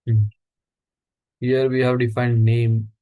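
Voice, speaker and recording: A man's voice: mid-range voice, little pitch movement, slow, warm tone. A man teaching a live coding lesson who walks through each step and asks the class questions as he goes. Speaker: instructor.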